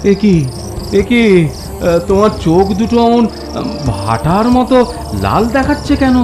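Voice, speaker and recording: A man voicing an excitable, blustering village husband in a cartoon, exclamatory and easily rattled. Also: high voice, a little slow, over music, heavily acted. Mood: frightened. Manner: blustering, exclamatory, stammering when scared. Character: excitable, dismissive village husband who turns cowardly. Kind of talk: cartoon voice acting.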